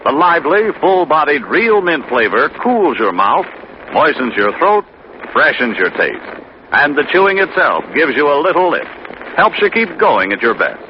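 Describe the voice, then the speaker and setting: A man's voice: a little high, very animated, normal speed. An American man announcing on 1950s radio, reading scripted copy with polished, upbeat salesmanship. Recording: muffled, noisy 1950 radio broadcast.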